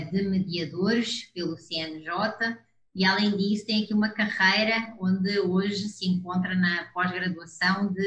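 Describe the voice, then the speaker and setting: A Portuguese woman with a European accent speaks in a measured, assertive tone, pausing often with "eh" between phrases. Her voice sits a little low and sounds roomy, with a noticeable echo around it. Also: fairly bright tone. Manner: measured, assertive, with frequent "eh" fillers. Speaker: host. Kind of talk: online conversation show.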